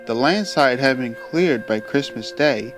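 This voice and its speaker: A man narrating a fan audio drama in an expressive storybook manner. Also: medium pitch, measured pace. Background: loud music.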